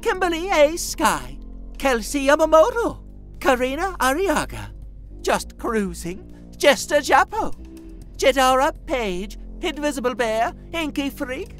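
A woman's voice: high-pitched, very animated, unhurried, soft background music. A woman playing a sweet, whimsical, chatty mobster's sister in a cartoon audio drama. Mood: cheerful, whimsical.

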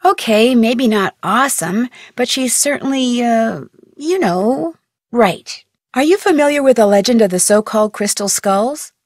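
A curious young detective girl from a mystery video game, brisk and a little wry. Her voice sits fairly high, recorded close and dry in a studio booth with no room sound. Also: expressive, dry recording. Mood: inquisitive. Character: young female amateur detective, curious and plucky. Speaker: game character, teen detective.

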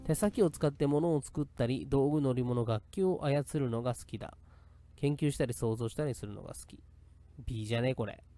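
A man's voice: medium pitch, a little fast, dark tone, faint music behind. A man reading aloud casually and adding his own comments.

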